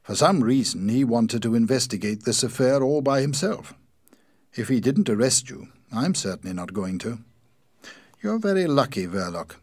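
A man voicing a firm, probing chief inspector in an audiobook, speaking in a measured, formal, insistent way. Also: mid-range voice, darkish tone, no room sound, clean studio sound, pitched above the performer's own voice, close to an everyday voice. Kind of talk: audiobook character dialogue.

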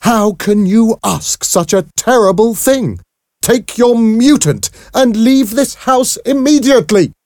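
A man performs a devout mother in an audiobook tale. She is cold, severe and righteous, speaking in a raised, deliberate voice. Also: very high-pitched, expressive, darkish tone, no echo, studio quality, pitched above the performer's own voice, exaggerated voice. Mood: outraged. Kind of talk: audiobook character voice.

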